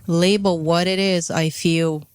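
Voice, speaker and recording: Woman, podcast co-host, lowish voice, dry sound. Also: steady tone, measured pace, clean tone, no room sound. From Brazil. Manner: reflective, searching for words, many fillers. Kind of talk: casual spiritual chat.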